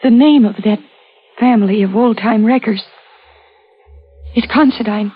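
An actress playing an anxious, frightened sister in a 1940s radio thriller, husky and earnest. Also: female voice, fairly high pitch, measured pace. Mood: fearful. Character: frightened, anxious sister stranded in a sinister house. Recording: dull old radio recording with music.

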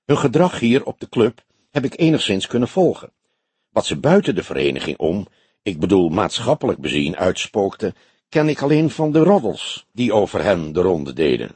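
A man voicing a composed, frank older woman in an audiobook, speaking softly in a measured, genteel, thoughtful way. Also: on the low side, sing-song, slightly rough, dry recording, exaggerated voice. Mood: reflective, matter-of-fact. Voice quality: soft, friendly voice. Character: older woman club member, composed, soft-spoken, frank. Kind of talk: audiobook character dialogue.

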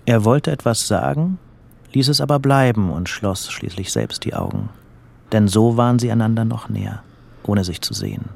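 A man narrating a literary radio play in a measured, deliberate and dramatic way. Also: lowish voice, sing-song, gravelly, darkish tone.

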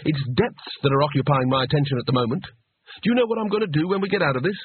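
A man voicing a sarcastic, cowardly man in peril, sharp, urgent and grumbling, in an audiobook fantasy story. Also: fairly high pitch, quick pace, breathy edge, no echo, narrow, tinny audio. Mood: exasperated.

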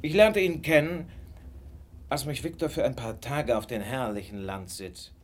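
An actor playing a shrewd, self-assured detective in a radio drama, speaking in a knowing, deliberate way. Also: male voice, mid-range voice, lightly acted. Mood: knowing, reminiscing. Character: famous male detective, shrewd, self-assured, gently superior. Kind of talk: German radio drama acting.

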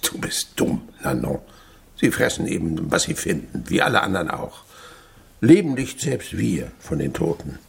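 An actor playing a miserly old French father in a German radio play, grumbling, domineering and gravelly. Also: low voice, very animated, moderate pace, heavily acted. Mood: instructing, dry. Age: older. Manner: grudging, stammers at times.

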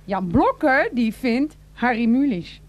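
A sarcastic bossy woman in a radio comedy, high voice, very theatrical and mocking. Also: big pitch swings, slow pace, muffled recording. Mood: mocking, challenging. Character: sharp-tongued, mocking woman of a bickering household. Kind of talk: satirical radio play acting.

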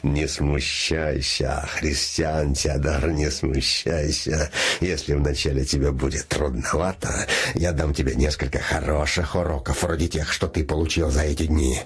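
An actor playing a smug, commanding shadow who has become king, speaking with slow, imperious theatrical deliberation. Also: extremely low pitch, little pitch movement, husky, exaggerated voice. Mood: mocking condescension. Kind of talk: theatrical radio play acting.

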